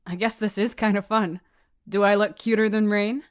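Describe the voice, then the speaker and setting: A woman's voice: medium pitch, steady tone, moderate pace, clean tone, close to an everyday voice. A woman voicing a self-conscious teenage boy in a story, grudging but playfully vain. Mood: shy excitement. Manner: reluctant, theatrical. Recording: music behind the voice.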